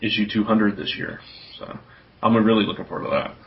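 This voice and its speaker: A man co-hosting a podcast in relaxed, casual conversation. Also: lowish voice, brisk, vocal fry. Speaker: podcast co-host. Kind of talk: casual chat about comics. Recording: dull, lo-fi podcast audio.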